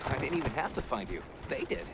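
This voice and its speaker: A man's voice: medium pitch, very animated, fast, very noisy. A man voicing a friendly, proud young village guide in a video game, upbeat and welcoming. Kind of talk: video game cutscene voice acting.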